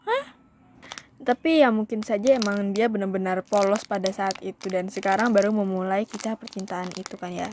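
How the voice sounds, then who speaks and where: A woman's voice: mid-range voice, moderate pace, a little mellow, a little hiss or hum. A young woman vlogger telling a storytime in a casual, chatty way, often calling her viewers "guys" and slipping into English phrases.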